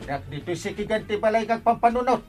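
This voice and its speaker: A man playing an excitable, blustering village man with an Ilocano accent in a radio comedy drama. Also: sits high, quick pace, faint music behind. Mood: excited.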